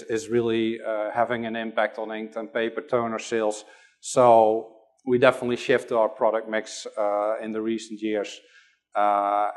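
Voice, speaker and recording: A middle-aged man from the Netherlands with a Dutch accent, giving a conference talk and hesitating often with fillers. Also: mid-range voice. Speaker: conference presenter.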